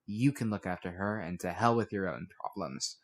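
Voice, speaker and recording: A man's voice: low voice, normal speed. A man reads as an impish opossum girl in a fantasy audiobook. She is blunt and contrary, delivering everything with cheek. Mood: cheeky, contrary.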